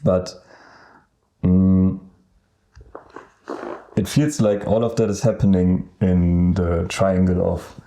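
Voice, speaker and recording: A young man from Germany with a German accent, co-hosting a casual podcast and talking hesitantly with many pauses. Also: low voice, fairly even intonation, warm tone. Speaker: podcast co-host. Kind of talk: casual chat.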